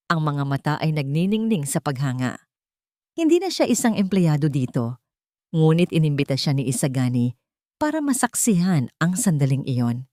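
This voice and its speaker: A female storyteller with a deep voice reads romantic fiction dramatically. Her phrasing is deliberate and emotive, and the sound is dry and close. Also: dark tone, no room sound. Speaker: story narrator.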